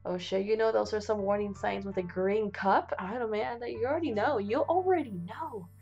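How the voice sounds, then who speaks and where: Gravelly-voiced young woman gaming. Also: medium pitch, brisk, darkish tone, dull audio, soft background music. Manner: casual, reactive, swears freely. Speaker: gaming channel host. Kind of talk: let's play commentary, reading game text aloud.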